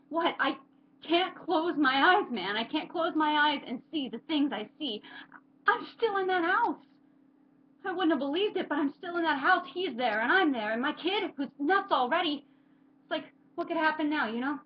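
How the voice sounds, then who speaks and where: A woman's voice: high-pitched, dull audio, voice pushed up, lightly acted. A woman performing a dramatic stage monologue as a tormented, unravelling working-class parent, desperate, intense and raw.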